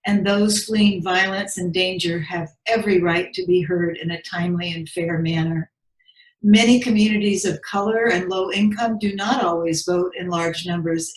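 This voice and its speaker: Echoey recording of a woman speaker. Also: fairly low pitch. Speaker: committee speaker. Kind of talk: prepared statement, read out. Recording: echoey, roomy sound.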